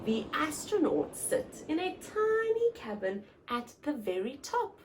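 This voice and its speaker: A woman's voice: high voice, very animated, measured pace, noisy background. A woman hosting a story time, reading aloud expressively to young children in simple phrases and putting questions to them.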